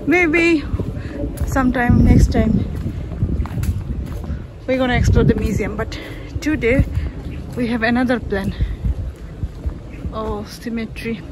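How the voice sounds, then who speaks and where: A woman's voice: a little high, a little slow, pauses often. A young adult woman, narrating a casual walking tour as a travel vlogger. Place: outdoors in village streets and balconies. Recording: clear outdoor ambient noise, passing traffic.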